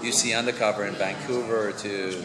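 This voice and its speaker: A man in his forties with a deep voice, chatting casually in a press interview with many restarts and fillers. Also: brisk, over loud music. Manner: relaxed, chatty, many restarts and fillers, gestures while talking. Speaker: actor being interviewed. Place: large convention press room. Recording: echoey hall with loud crowd. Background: loud crowd chatter.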